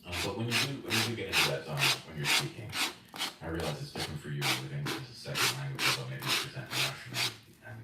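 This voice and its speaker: A man's voice: very deep voice, racing. A man speaking as a podcast guest, reflective and loose, with many fillers. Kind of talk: casual conversation. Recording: echoey room, distant mic, loud noise.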